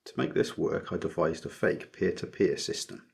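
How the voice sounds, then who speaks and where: A man narrating a scripted electronics project tutorial as its maker, explaining methodically in a measured way. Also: low voice, creaky, slightly rough.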